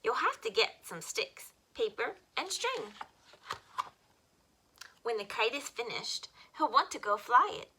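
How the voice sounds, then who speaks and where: A woman reading picture books aloud to young children as a story time host, with expressive, simple phrasing. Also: a little high, measured pace, long pauses, background noise.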